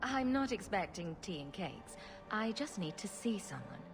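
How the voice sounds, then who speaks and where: A self-assured young heroine for a fantasy game. Her voice is mid-pitched and wry, with faint music in the background. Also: sing-song, normal speed, creaky. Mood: wry, direct. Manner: wry, questioning. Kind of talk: video game voice acting.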